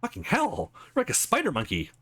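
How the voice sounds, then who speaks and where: A man's voice: high voice, wildly swooping pitch, a little fast, sharp tone, pitched above the performer's own voice, heavily acted. A man voicing a wisecracking, outmatched male hero from a visual novel in a wry, sarcastic, casual way. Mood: exasperated, joking.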